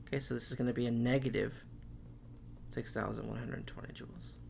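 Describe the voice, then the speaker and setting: A man's voice: mid-range voice, fairly even intonation, brisk, vocal fry, darkish tone, a little hiss or hum. A man teaching chemistry, working through problems step by step and saying okay often, while losing his voice. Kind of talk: recorded classroom lecture.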